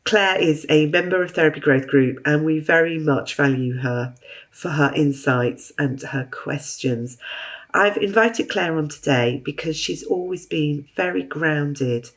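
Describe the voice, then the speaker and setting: A woman hosting a podcast interview, a little hoarse from a cold, encouraging her guest. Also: deep voice, unhurried. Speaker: podcast host.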